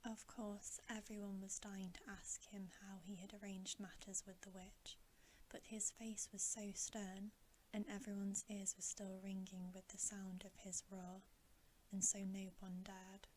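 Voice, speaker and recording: Whispering woman reading a slow bedtime story. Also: mid-range voice, little pitch movement, slight background noise. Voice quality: whispered, breathy. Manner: slow, gentle, hushed delivery with long pauses. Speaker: ASMR reader, storyteller.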